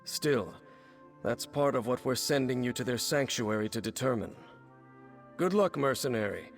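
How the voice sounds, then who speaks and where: An actor plays a sober male commander in a video game, mid-pitched and formal. He briefs his operatives in measured, serious sentences with a dutiful air, with music underneath. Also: animated, natural voice. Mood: grave, concerned. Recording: game dialogue over background music.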